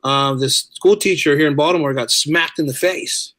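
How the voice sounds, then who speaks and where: Bright-voiced, chatty middle-aged man. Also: a little high, moderate pace, dry recording. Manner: chatty, informal, emphatic repetition. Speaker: podcast guest, teacher and writer. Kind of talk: casual conversational storytelling.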